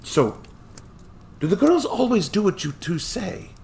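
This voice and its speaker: A man reading a story aloud and voicing a cheerful, chatty old Scottish male lawyer, raised higher than his own voice, with a lilting Scottish accent. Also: big pitch swings, a little slow, creaky, darkish tone, slight background noise, heavily acted. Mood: probing.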